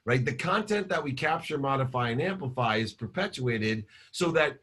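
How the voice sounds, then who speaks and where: A man in his fifties, a guest entrepreneur giving an energetic motivational interview answer full of repeated phrases. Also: medium pitch, moderate pace. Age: 50s. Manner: energetic, repetitive storytelling.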